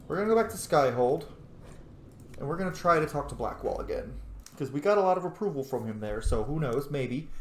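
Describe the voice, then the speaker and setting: A man giving casual, playful video game commentary, swearing now and then and rambling off into asides. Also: medium pitch. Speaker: let's play streamer. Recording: roomy echo, faint background noise.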